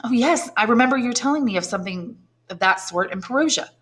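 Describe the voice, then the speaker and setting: A woman's voice: fairly high pitch, animated, fast talker, pitched above the performer's own voice, natural voice. A woman voicing a curious, nervous aristocratic mother who asks eager questions in a raised voice. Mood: eager, probing. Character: curious, flattering aristocratic lady, mother, high-strung.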